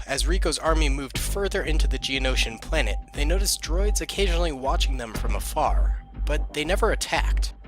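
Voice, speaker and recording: A man reading a scripted war story as narrator, in a steady storybook manner. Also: mid-range voice.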